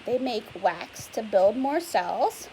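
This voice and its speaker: A woman's voice: a little high, big pitch swings, takes it slowly, darkish tone, low-quality recording. A woman reading a picture book aloud to young children, patiently explaining it in simple words and putting questions to the listener. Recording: clear background hiss and noise.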